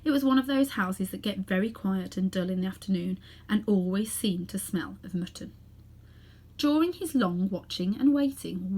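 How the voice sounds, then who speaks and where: Woman, storybook narrator, husky, mid-pitched, expressive, dramatic emphasis, hushed asides. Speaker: storybook reader. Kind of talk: expressive children's story narration, read aloud.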